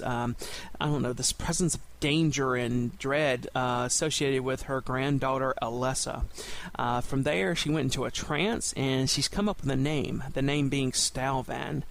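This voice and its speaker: A man's voice: medium pitch, vocal fry, fairly bright tone. A man chatting casually on a gaming podcast, hesitant and full of fillers, with a playful way of talking. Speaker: podcast host. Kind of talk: casual chat about a game quest.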